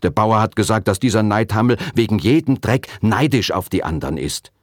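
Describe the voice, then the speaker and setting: A man's voice: on the low side, lively intonation, a little fast, dry recording, clean studio sound, voice pushed up. A man voicing an earnest, cheeky schoolgirl who tells tall tales insistently in a children's storybook reading. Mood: eager telling.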